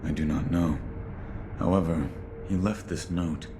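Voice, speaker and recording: A man playing a stoic, loyal shinobi in a video game, speaking in a terse, restrained deadpan. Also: a bass voice, measured pace. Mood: grave, terse. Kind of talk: video game cutscene acting. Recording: clear background ambience noise.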